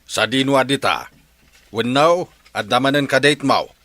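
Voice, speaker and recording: A man's voice: medium pitch, moderate pace, a bit bright, lightly acted. An actor playing a stern, questioning middle-aged father with an Ilocano accent in a radio drama. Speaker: father character.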